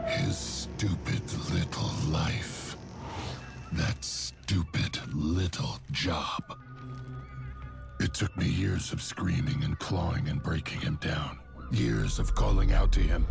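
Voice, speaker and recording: An actor playing a sadistic psychotic killer in a video game, narrating darkly and savoring it with a gravelly, menacing voice. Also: male voice, low-pitched, heavily acted. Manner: slow, savoring, sinister. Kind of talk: dark scripted game cutscene narration.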